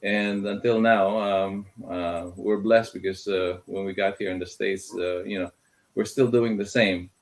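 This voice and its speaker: A very deep-voiced middle-aged man from the Philippines speaks as an interview guest. He talks in a hesitant, halting way, full of fillers, with Tagalog words in his English. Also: fairly even intonation. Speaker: guest, filmmaker. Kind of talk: casual interview talk.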